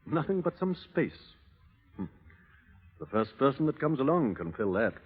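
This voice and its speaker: A man's voice: medium pitch, sing-song, moderate pace, husky. A man playing a dying, resigned doctor and devoted friend in old radio drama, wistful and fatalistic. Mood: bitterly resigned. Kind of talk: 1930s radio drama acting. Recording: muffled, reverberant old radio broadcast.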